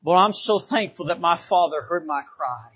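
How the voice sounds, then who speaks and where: Fervent high-voiced preacher from the Bahamas. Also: male voice, moderate pace, fairly bright tone, slightly rough, lo-fi sound. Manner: fervent, repetitive, calls of amen. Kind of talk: revival sermon. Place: church.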